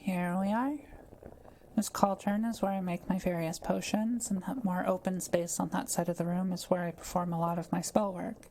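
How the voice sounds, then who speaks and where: Mellow, low-pitched kind witch, acted by a woman. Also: fairly even intonation, moderate pace, slight background noise, close to an everyday voice, low-quality recording. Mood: welcoming. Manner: gentle, patient, explanatory. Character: kind, quiet-living forest witch brewing potions. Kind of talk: soft-spoken audio roleplay addressed to the listener.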